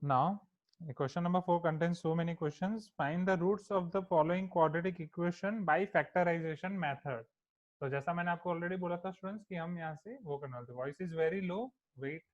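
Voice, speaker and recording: A male maths teacher with an Indian accent teaching an online class, mixing in Hindi and checking in with students often. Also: sits high. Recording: echoey tinny online class audio.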